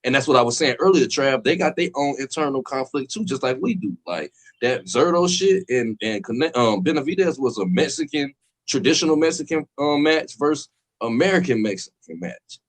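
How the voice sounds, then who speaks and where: A man's voice: mid-range voice, lively intonation, brisk. Rambling, slangy man in casual chat. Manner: rambling, slangy, fills with "you know what I'm saying". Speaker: podcast co-host.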